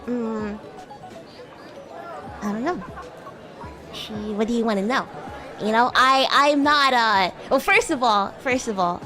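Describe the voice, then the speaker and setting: A woman's voice: fairly high pitch, slow, long pauses, bright, forceful tone, faint music behind, a faint crowd. A woman voicing a bratty, flustered young female demon classmate in improvised livestream roleplay, teasing and stammering as she acts haughty and defensive. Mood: hesitant, evasive. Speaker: VTuber streamer.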